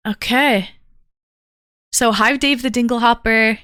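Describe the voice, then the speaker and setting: Chatty upbeat woman, bright and close. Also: a little high, steady tone, slow, clear, resonant tone, no room sound. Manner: talkative, calls herself a yapper. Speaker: streamer. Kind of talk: casual livestream chat greeting viewers.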